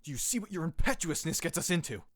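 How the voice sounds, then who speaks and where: An actor playing a wry, long-suffering cleric in a fantasy audio drama, sardonic and exasperated. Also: male voice, fairly high pitch, very animated, fast, dry recording. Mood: wry exasperation.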